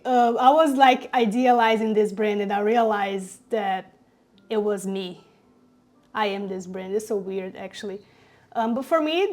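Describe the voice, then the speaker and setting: Young Brazilian woman, Portuguese native, casual upbeat vlogger voice, slightly high, chatty with fillers. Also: a little mellow. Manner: chatty, upbeat, many fillers and little laughs. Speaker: designer vlogger. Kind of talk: casual talk to camera while working.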